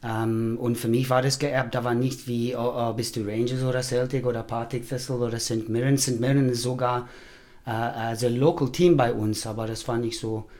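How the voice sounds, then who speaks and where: A middle-aged man from Scotland with a Scottish accent, a podcast guest chatting casually in German, his first language English, pausing often and slipping into English words. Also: mid-range voice, fairly even intonation, darkish tone. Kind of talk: casual chat.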